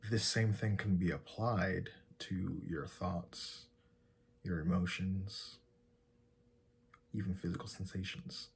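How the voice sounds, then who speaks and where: A man leading a guided meditation in a soft, breathy, husky voice, giving calm and gentle instructions with long pauses. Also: low voice, a little hiss or hum.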